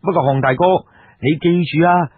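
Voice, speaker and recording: A man's voice: on the high side, moderate pace, husky. A man with a Cantonese accent voicing a hearty, talkative swordsman of ancient times in a story. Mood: hearty, eager. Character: deferential revelling ancient swordsman, anxious comrade. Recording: muffled, narrow phone-grade audio.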